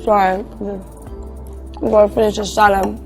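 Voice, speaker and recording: A woman's voice: medium pitch, flat delivery, slow, a little mellow. A woman acting a polite, reluctant date in a dating game scene, with music behind her. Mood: awkward, apologetic. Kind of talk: acted dating-game cutscene dialogue.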